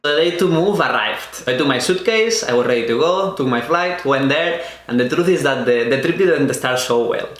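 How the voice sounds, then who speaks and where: Young spanish guy w/ an accent, telling his story, echoey room, soft music under it. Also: mid-range voice, lively intonation. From Spain. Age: 20s. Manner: earnest, unhurried storytelling with small restarts. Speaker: vlogger. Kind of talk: personal storytelling.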